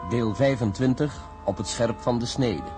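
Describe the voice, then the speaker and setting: A man's voice: mid-range voice, normal speed, music in the background. A man giving a scripted title announcement for a radio drama serial.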